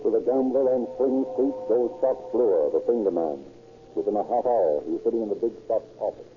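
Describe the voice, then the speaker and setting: A man playing the solemn narrator of an old radio crime drama, measured and dramatic in his delivery. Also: on the low side, animated, lightly acted. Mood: grave, suspenseful. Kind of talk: 1940s radio scripted narration. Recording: tinny old radio recording, music behind.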